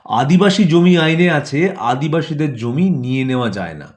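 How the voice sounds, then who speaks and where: A man's voice: a little high, animated, normal speed, lightly acted. Man playing a sly, scheming courtier. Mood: sly, deferential. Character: sly, scheming male courtier advising his lord.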